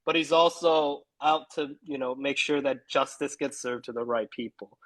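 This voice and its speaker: A man's voice: medium pitch, moderate pace, fairly bright tone, poor audio. A man being interviewed about his books in a casual video chat, easygoing and prone to restarts and asides. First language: English. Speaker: author guest.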